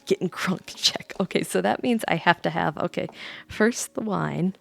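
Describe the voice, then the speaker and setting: A woman streamer reading a bedtime story in a soft ASMR style, close to the microphone, with playful, rambling asides to her viewers. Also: lowish voice, normal speed, gravelly.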